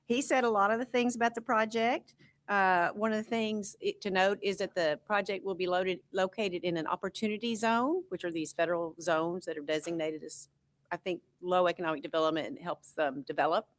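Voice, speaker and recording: A woman's voice: on the low side, a little fast. A woman consultant presenting a tax analysis at a school board meeting, explaining in a conversational way with frequent fillers.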